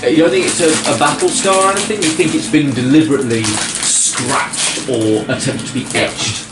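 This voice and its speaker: A man narrating a tabletop game as game master in a casual, halting way, searching for his words. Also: medium pitch. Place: indoor room, group around a game table. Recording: roomy, distant mic with music behind.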